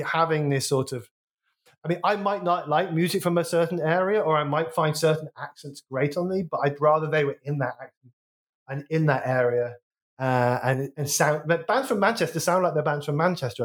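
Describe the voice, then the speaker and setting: A man with a West Midlands accent chats about music on a podcast. He rambles and restarts his sentences, and his voice sits a little high. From Wolverhampton, England. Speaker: podcast co-host. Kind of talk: casual music chat.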